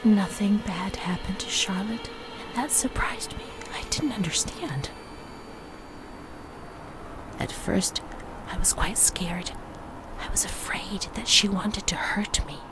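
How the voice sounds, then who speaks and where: A woman playing a fragile, haunted young former asylum patient in a narrative game, delivering a slow, halting, confessional monologue in a breathy voice that often sinks to a whisper. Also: medium pitch, close to an everyday voice. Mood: fearful, hurt. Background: music.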